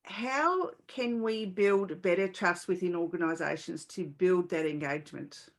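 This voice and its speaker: A woman hosting a podcast, giving introductions and questions in a presenterly way with pauses mid-sentence. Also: lowish voice. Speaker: podcast host. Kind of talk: scripted introduction and interview questions.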